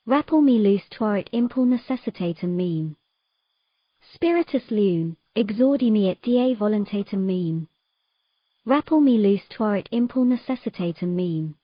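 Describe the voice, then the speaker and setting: A woman's voice: mid-range voice, lively intonation, unhurried, no room sound. Woman playing a headstrong mermaid, dry muffled sound. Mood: incanting. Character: determined, defiant young mermaid, impatient and yearning. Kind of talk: dramatic audio fiction acting.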